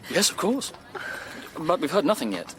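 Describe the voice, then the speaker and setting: A man's voice: a little high, sing-song, moderate pace, fairly bright tone, a little hiss or hum, rough recording. A man playing an earnest, courteous young English captain in a period radio drama, speaking politely and carefully. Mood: anxious, courteous.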